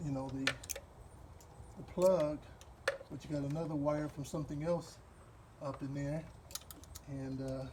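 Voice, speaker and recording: A man's voice: on the high side, noisy background. Wisconsin man talking through a car repair. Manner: relaxed, rambling, with fillers and pauses while working. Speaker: DIY car repair host. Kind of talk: hands-on repair walkthrough.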